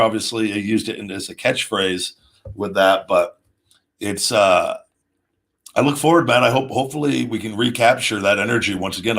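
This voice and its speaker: Male host, 30s, lowish pitch, casual unscripted monologue, halting and rambling delivery. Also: fairly bright tone. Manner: rambling, halting, with repeated restarts. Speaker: show host, former pro wrestler. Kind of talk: casual off-the-cuff monologue answering viewers' questions.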